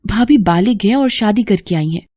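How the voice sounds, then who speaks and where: A woman's voice: mid-range voice, expressive, normal speed, mellow, dry recording, lightly acted. A woman voicing a sly young daughter in a cartoon, coaxing and conspiratorial as she schemes. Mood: sly, persuasive. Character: sly young daughter plotting against her sister-in-law.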